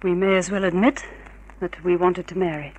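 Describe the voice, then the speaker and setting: A woman's voice: on the low side, steady tone, moderate pace, dull audio, natural voice. An actress playing a cool, scheming woman in a 1950s radio crime drama, composed and theatrical. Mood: coolly composed. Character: cool, scheming female poisoner in love.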